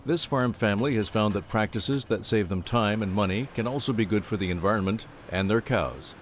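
Low-voiced male documentary narrator. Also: a little fast, slight background noise.

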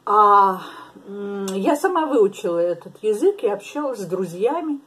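An older woman with a slightly husky, breathy voice talks frankly across a table in a small living room. She is from Latvia and answers questions in a relaxed, casual way, dropping in laughing asides. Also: mid-range voice, measured pace. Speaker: interviewee. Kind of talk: casual interview.

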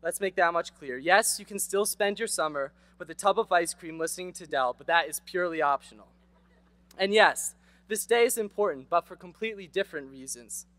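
A man's voice: high-pitched, a little fast, fairly bright tone, no room sound. A teenage boy giving a prepared graduation speech at a podium microphone on an outdoor stage, earnest and rhetorical with wry asides. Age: teens. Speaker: graduation speaker.

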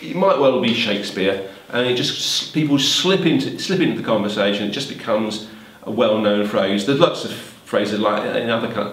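A man's voice: fairly low pitch, roomy sound, far from the mic, rough recording. Man musing aloud, heard from afar. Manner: thinking aloud, hedging. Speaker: passer-by in a street interview. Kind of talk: casual musing answer.

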